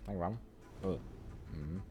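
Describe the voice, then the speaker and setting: A man's voice: deep voice, slow, heavy background noise. A man giving casual game stream commentary in short, muttered reactions. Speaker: gaming streamer. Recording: voice over loud game music. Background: game music.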